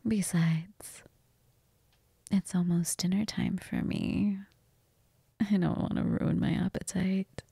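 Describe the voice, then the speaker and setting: Woman playing a breathy, flirtatious vampire. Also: lowish voice, fairly even intonation, no echo, full character voice. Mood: seductive. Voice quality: breathy, half-whispered.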